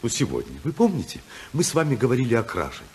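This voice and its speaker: A man's voice: mid-range voice, huge pitch swings, fast, slight background noise. An earnest, swooping, idealistic congressman. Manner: earnest, oratorical, swinging from hushed to impassioned. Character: idealistic, principled congressman torn by love. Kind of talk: 1950s Soviet radio drama acting.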